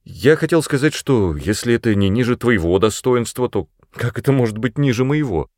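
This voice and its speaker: A man voicing an eager young male magician's apprentice in an audiobook, excitable, earnest and quick to protest. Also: medium pitch, dry recording, studio quality, pitched above the performer's own voice, natural voice.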